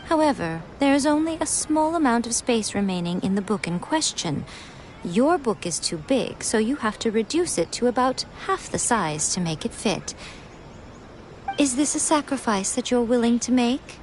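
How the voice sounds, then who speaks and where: A woman's voice: on the high side, very animated, normal speed, a little hiss or hum. Sly, lilting lady publisher. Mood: teasing. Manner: playful, coy, self-assured. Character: sly, teasing lady publisher and shrine guardian. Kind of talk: video game voice acting.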